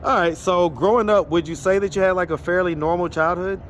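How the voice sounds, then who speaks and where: A man's voice: sits high, fairly quick. A man interviewing people on the street, casual, often saying okay and laughing. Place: city street outdoors. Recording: outdoor street with traffic.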